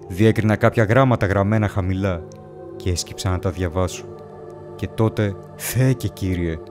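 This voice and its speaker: Man voicing a solemn, low-voiced lost ghost. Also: a little mellow, close to an everyday voice. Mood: awestruck dread. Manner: solemn, deliberate, theatrical. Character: bewildered, awestruck ghost of an ancient man. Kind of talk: dramatic first-person horror story narration.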